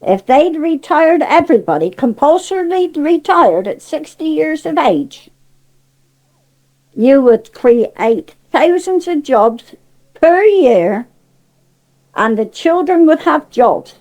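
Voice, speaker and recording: A woman's voice: high-pitched, sing-song, slow, darkish tone. An older woman with a Cornish accent, speaking in an emphatic, forthright and indignant way as she gives her opinions in conversation. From Cornwall, England. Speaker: interviewee.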